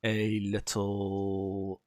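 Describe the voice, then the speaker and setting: A man's voice: lowish voice, monotone, extremely slow, darkish tone, no room sound, studio quality. A man running a tabletop game as game master, narrating casually in hesitant, improvised phrases.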